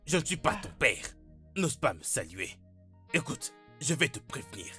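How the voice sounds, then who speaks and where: A man's voice: mid-range voice, big pitch swings, moderate pace, sharp tone, faint music behind. An actor playing a stern, menacing middle-aged father, domineering and confrontational, in a dubbed melodrama. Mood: furious, threatening.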